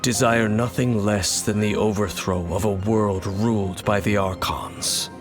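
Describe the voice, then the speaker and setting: An actor playing a grave, mysterious wandering swordsman in a video game, speaking in a solemn, measured and knowing way. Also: on the low side, fairly even intonation, lightly acted. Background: game music.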